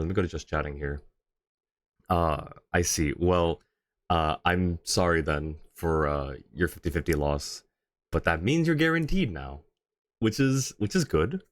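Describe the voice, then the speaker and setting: A man hosting a casual livestream, talking in an easygoing, conversational way as he answers chat. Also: deep voice. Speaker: livestream host.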